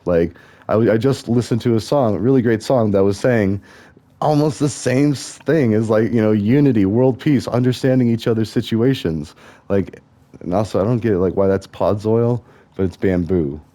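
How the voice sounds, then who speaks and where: A young man with a deep voice, musing on tangents while he plays a game. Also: moderate pace, dark tone. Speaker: gaming vlogger. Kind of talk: rambling commentary while playing a game.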